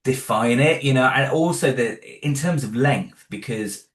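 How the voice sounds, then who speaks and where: A man's voice: medium pitch, a little slow, fairly bright tone, echoey room. A man being interviewed as an audio drama creator, chatting casually with many restarts and asides. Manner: rambling, many restarts, laughs along.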